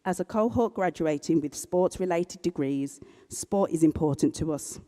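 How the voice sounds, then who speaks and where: Interpreter voicing a speech, formal and earnest, mellow tone, long hall echo. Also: medium pitch. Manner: measured, earnest delivery with small self-corrections. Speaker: sign language interpreter voicing a graduate's speech. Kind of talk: formal speech read in a public ceremony. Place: large graduation hall.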